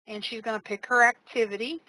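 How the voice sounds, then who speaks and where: A woman trainer giving a casual, step-by-step live software demonstration, explaining each click as she goes. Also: a little high, fairly even intonation, fairly quick, dry recording, rough recording. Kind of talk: live software demo walkthrough.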